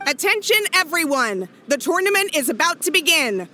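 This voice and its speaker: A woman's voice: high voice, very animated, normal speed, pushed and bright, exaggerated voice. A woman playing a formal, commanding tournament announcer making a public announcement in a video game.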